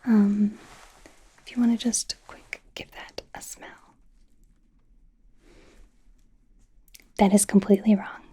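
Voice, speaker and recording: A woman playing an eager, friendly, slightly awkward female werewolf doctor in an ASMR medical exam roleplay, speaking in a soft breathy whisper with a hesitant, rambling manner. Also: mid-range voice, little pitch movement, moderate pace, slight background noise, lightly acted. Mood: teasing, curious.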